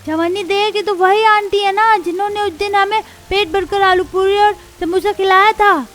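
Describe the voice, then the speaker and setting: A woman's voice: very high-pitched, steady tone, normal speed, fairly bright tone. A woman voicing a small, poor child in a cartoon story, with a plaintive, childlike way of talking. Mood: hopeful. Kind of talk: Hindi cartoon story acting.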